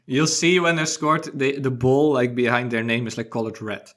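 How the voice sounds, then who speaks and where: Relaxed man host. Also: medium pitch, normal speed. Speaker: podcast host. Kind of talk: casual football chat.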